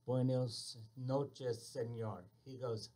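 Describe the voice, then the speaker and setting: A man hosting a casual radio chat, telling a relaxed anecdote. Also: mid-range voice, takes it slowly. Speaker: radio host.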